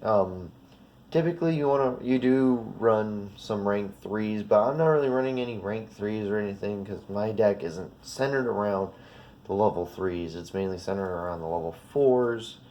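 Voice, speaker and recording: A man's voice: on the low side, moderate pace, dark tone, a little hiss or hum. A man with a slightly sniffly, congested voice gives a casual, unscripted card deck walkthrough in an informal, rambling, self-correcting way. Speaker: trading card video creator.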